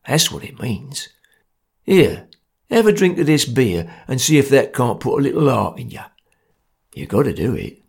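A man voicing a grim, stern male ringleader, talking gruffly. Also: mid-range voice, a little mellow, heavily acted. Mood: severe. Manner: gruff, severe, matter-of-fact.

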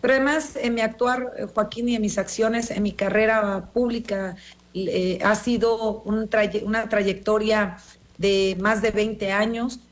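A woman's voice: medium pitch, steady tone, measured pace. A middle-aged woman with a Mexican accent giving formal, argumentative interview answers as a politician, emphatic and repetitive. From born in Los Angeles, Mexican.

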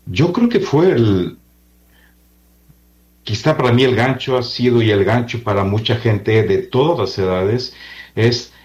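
A man speaking as a priest and interview guest, giving earnest, deliberate testimony in a conversational way over a dull, band-limited recording. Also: mid-range voice, darkish tone.

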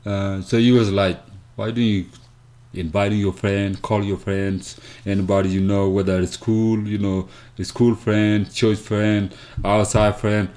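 A man from South Sudan with a South Sudanese accent, telling his story as an interview guest in a halting way, with frequent pauses and repeated words. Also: fairly low pitch, a little mellow. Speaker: interview guest, community organization founder.

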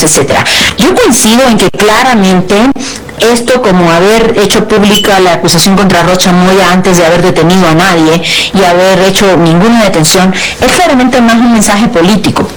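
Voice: medium pitch, low-quality recording. A Cuban-born columnist being interviewed, laying out political analysis in long, carefully qualified arguments. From Cuba. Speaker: columnist and guest.

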